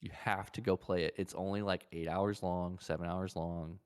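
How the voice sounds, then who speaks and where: Casting: male podcast co-host, low-pitched voice, blunt and informal, comfortable cursing in loose gaming chat. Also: level tone, normal speed, clear, resonant tone, no echo, clean studio sound. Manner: blunt, casual swearing.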